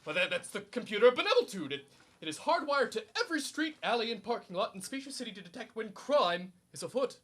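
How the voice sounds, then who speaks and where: A man's voice: high voice, sing-song, quick pace, bright, forceful tone, heavily acted. A young man playing a pompous, easily flustered suburban superhero in a comedic radio serial, declaiming grandly. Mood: self-important. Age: young adult. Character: pompous, needy, suburban amateur male superhero.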